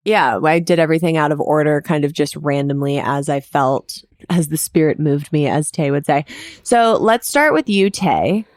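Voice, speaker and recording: Female podcast host, lowish register, candid and unfiltered conversational delivery, dry close recording. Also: moderate pace, a little mellow, no echo. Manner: candid, casually sweary. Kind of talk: casual podcast chat.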